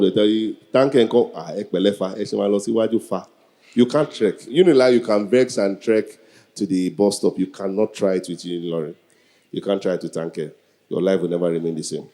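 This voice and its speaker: A man's voice: fairly low pitch, fairly quick, mellow. A man in his early forties with a Nigerian accent, hosting a live event with joking, improvised banter and questions to the crowd. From Lagos, Nigeria. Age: early 40s. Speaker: event co-host, master of ceremonies.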